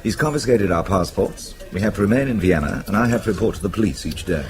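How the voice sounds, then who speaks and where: An actor playing a wry, evasive British husband in a radio drama, dry and sardonic with a British accent. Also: male voice, lowish voice, expressive, quick pace, crowd noise. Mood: wry, exasperated.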